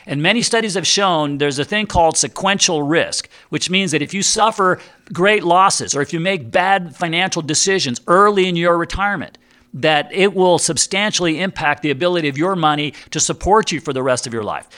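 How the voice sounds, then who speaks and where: Bright, forceful higher-voiced man hosting advice show. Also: fairly quick. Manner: folksy, chatty, direct address to listeners. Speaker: host and financial advisor. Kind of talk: informal radio-style advice show, reading and answering listener questions.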